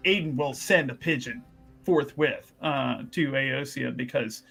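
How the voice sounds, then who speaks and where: A man playing a tabletop game, narrating his character's actions in halting, mock-formal wording. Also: medium pitch, vocal fry, fairly bright tone, faint music behind.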